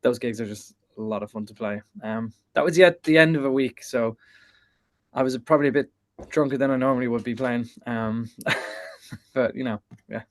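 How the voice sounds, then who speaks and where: A man's voice: medium pitch, normal speed. A man answering interview questions over a video call, easygoing, often trailing off. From Ireland. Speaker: band member, guest.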